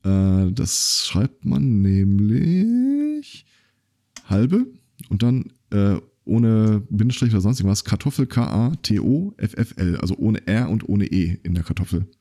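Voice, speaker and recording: A man's voice: on the low side, sing-song, a little slow, mellow. A man hosting a casual podcast chat, telling stories loosely with many fillers and restarts. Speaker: podcast host.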